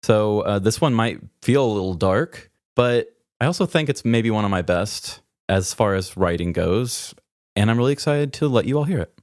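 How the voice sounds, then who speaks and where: A man's voice: fairly low pitch, animated, normal speed, darkish tone, no room sound, studio quality. A man hosting a podcast, talking casually and narrating his own writing. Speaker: podcast host and story writer.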